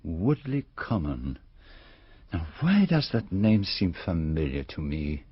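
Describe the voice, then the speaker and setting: An actor playing a courteous, methodical older Belgian detective with a French-speaking Belgian accent, formal and probing in a radio drama. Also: male voice, sits low, sing-song, slow, husky, no room sound, exaggerated voice. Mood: gently inquisitive. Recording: slightly dull radio recording.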